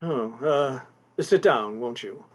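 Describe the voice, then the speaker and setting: An actor playing a fussy, anxious store executive in a 1950s-style radio play, speaking formally with polite hesitations. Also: male voice, medium pitch, slow. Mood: worried, guarded.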